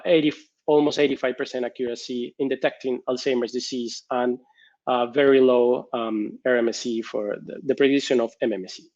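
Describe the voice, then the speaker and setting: A man's voice: fairly high pitch, darkish tone, muffled recording. A man from Spain with a Spanish accent, presenting research at a conference over a video call. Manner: frequent fillers and restarts while explaining. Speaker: conference speaker. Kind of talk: technical conference talk.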